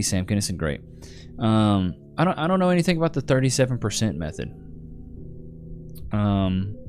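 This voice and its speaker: Man, livestream host, deep-ish relaxed chatter, soft guitar music behind. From Texas. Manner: laid-back, calls viewers bro and brother. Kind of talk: casual livestream chat reading comments.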